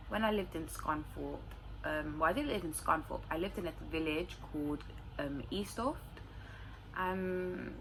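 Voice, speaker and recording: Low-pitched young-sounding London woman, noisy recording. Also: fairly bright tone. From London, England. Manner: informal, slangy. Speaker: vlogger answering viewer questions. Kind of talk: casual chat to camera. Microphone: phone recording. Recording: clearly noisy room recording.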